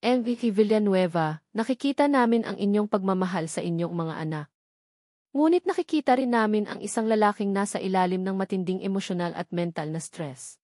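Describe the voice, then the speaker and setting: A woman with a Filipino accent voicing a formal, firm lead social worker in an audio story, speaking in a measured, official way. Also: medium pitch, lively intonation, darkish tone, no echo, clean studio sound, close to an everyday voice. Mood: grave, official.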